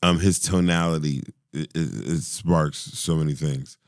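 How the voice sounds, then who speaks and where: A man in his forties with a deep voice, a singer talking as a podcast guest in casual chat, animated, laughing and often restarting his sentences. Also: measured pace, no echo, clean studio sound.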